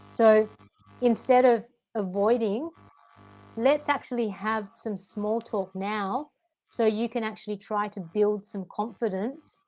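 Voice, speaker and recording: A woman's voice: mid-range voice, darkish tone, poor audio. A woman with an Australian accent, tutoring English in an online session and explaining patiently and encouragingly.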